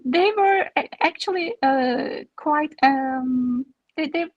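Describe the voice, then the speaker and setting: A woman researcher speaks at a slow pace with a fairly high voice and hesitant, halting phrasing. She is answering questions at an academic seminar, and the sound is dry with little room in it. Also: dry recording, lo-fi sound. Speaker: research presenter.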